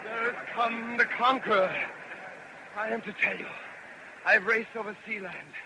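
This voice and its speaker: An actor playing an exhausted messenger who cries a dire warning in declamatory, rhythmic radio verse. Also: male voice, high-pitched, fairly even intonation, measured pace. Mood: urgent, dread. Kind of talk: 1930s radio verse drama acting. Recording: bright, noisy old radio recording.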